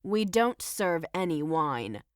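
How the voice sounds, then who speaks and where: A woman's voice: lowish voice, sing-song, slow, dry recording, clean studio sound. A woman playing a stern, matter-of-fact innkeeper in an audio play, blunt and bossy. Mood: curt, sarcastic. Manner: blunt, scolding. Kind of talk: audio play character acting.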